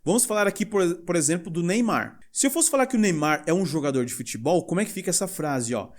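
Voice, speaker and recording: A young male English teacher with a Brazilian Portuguese accent, explaining grammar in a lively way and reading example sentences slowly. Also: high-pitched. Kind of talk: recorded language lesson.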